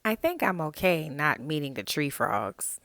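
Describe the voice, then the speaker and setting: A woman voicing a stubborn, childlike little frog in a picture-book read-aloud, protesting with drawn-out emphasis. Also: low-pitched, sing-song, a bit bright, dry recording, heavily acted.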